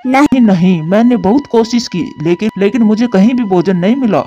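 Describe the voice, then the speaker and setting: A man's voice: sits high, moderate pace, mellow, natural voice. A man voicing a selfish, sly, lazy male crow in a children's story cartoon. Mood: feigning hunger. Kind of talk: Hindi children's moral story cartoon dialogue. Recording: dull lo-fi track with music.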